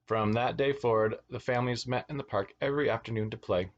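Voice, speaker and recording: A man's voice: mid-range voice, fast, lo-fi sound, a little hiss or hum. A man reading a children's storybook aloud as a teacher, expressively, with asides to his young listeners. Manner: expressive, acts out words and exclamations.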